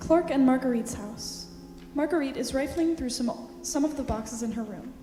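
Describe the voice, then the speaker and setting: Lady reading out stage directions, highish voice, echoey hall w music behind. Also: moderate pace. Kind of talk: scripted narration. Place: school auditorium stage.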